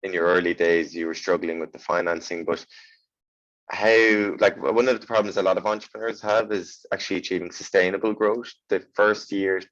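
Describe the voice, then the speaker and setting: A man's voice: deep voice, fairly even intonation, a little fast, a bit bright, dry recording, lo-fi sound. A young man, asking wordy, informal questions as an interviewer.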